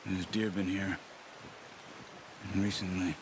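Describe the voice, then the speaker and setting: An actor playing a calm, patient hunter in a western game, giving terse instructions to a companion. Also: male voice, low-pitched, slow, noisy background. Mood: watchful. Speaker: video game character. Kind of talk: naturalistic western game drama acting. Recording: music behind the voice.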